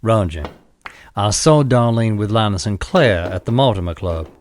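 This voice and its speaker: A man's voice: fairly low pitch, expressive, moderate pace, darkish tone, a little hiss or hum, natural voice. A man playing a frank, sceptical friend in an audio drama, casual and plain-spoken. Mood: frank concern.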